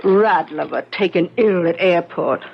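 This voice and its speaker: An actress playing a jealous, sharp-tongued wife, sarcastic and needling, in a 1970s radio drama. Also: female voice, fairly low pitch, a little slow, gravelly, breathy edge, full character voice. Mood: scornful. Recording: muffled 1970s radio broadcast recording.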